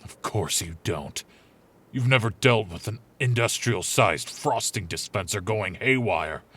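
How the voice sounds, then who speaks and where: Outraged forties blowhard, comedy audio drama: melodramatic interrogator, big swings in pitch. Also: male voice, animated, measured pace, fairly bright tone, slightly rough, full character voice. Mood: bitter, grandiose. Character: indignant forties man, self-styled bakery factory veteran.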